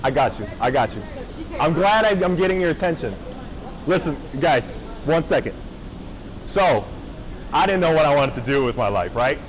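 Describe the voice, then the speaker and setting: A young man from Japan giving a casual motivational talk to high school students, often checking in with the crowd. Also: lively intonation, moderate pace, long pauses, rough recording. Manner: casual, engaging, checks in with the audience. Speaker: motivational speaker. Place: high school gathering space.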